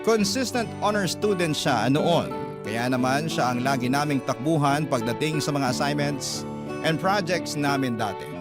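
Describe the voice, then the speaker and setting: A man's voice: on the high side, lively intonation, normal speed. Filipino male storyteller over guitar music. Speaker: radio drama narrator. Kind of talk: dramatic scripted first-person narration. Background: plucked guitar music.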